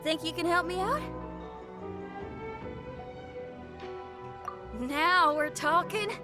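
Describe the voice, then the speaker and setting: A woman's voice: very high-pitched, normal speed, over music. A woman voicing a young, friendly travelling musician in a video game, with a breezy, folksy way of talking. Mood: hopeful.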